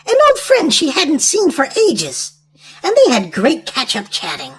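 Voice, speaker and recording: A woman reading a storybook aloud to young children in an animated, sing-song way. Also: high-pitched. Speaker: children's storytime host and reader.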